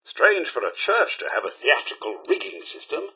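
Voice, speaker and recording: A man's voice: fairly high pitch, big pitch swings, normal speed, sharp tone, husky, exaggerated voice. A man voicing a prim, fussy, courteous robot butler in a video game, with a British accent and a polite, theatrical manner. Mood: fussy concern. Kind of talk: video game companion voice lines.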